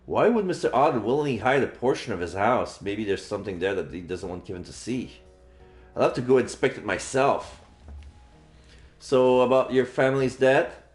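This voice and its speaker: A man's voice: medium pitch, normal speed. A man streaming a visual novel, reading the narration and dialogue aloud as he plays. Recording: roomy echo, game music behind.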